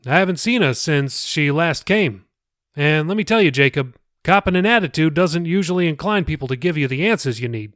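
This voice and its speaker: Storybook pastor, read by a man: middle-aged, kindly, folksy and hesitant, pitched up, close dry sound. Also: expressive, fairly quick, a bit bright, dry recording. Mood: affable. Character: kindly middle-aged male pastor, chatty, gently teasing.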